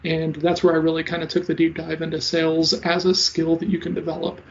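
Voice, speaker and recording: A man in his thirties who talks fast and stutters a little, giving casual interview answers as a sales coach. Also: a little high, completely flat delivery, slight background noise. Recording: slightly dull lo-fi audio.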